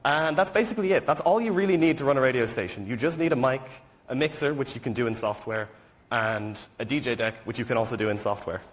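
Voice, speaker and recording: A man's voice: mid-range voice, fast. A young man from rural Ireland with an Irish accent, giving a casual technical presentation at a conference in an informal, wry, self-deprecating way. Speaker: student conference speaker.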